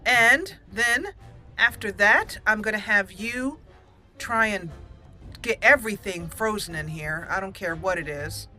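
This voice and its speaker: A woman's voice: mid-range voice, unhurried, sharp tone, faint music behind. A woman giving casual let's play commentary, thinking aloud and talking straight to the game's characters. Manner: thinking aloud, addressing game characters directly. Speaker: gaming channel host.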